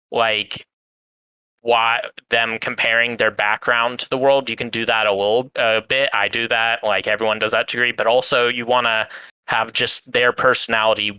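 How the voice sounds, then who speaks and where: A man's voice: fairly low pitch, pushed and bright, smooth, no room sound, low-quality recording. A man talking casually as a guest reviewer, giving rambling explanations with plenty of qualifiers. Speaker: guest reviewer on a fan podcast. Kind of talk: casual discussion.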